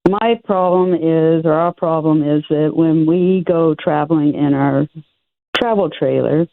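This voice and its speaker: A woman's voice: deep voice, a little slow, creaky, no room sound. A woman in her seventies from Oregon calling in to a radio show, speaking in a hesitant, folksy, self-deprecating way. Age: 70s. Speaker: caller. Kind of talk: phone-in question. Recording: tinny phone line.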